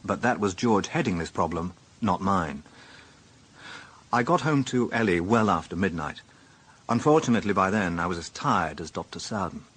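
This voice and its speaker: A man's voice: sits low, lively intonation, moderate pace, fairly bright tone, husky, heavily acted. A British actor playing a dry, sardonic police inspector who narrates his own case in a radio drama. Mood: wry, reflective.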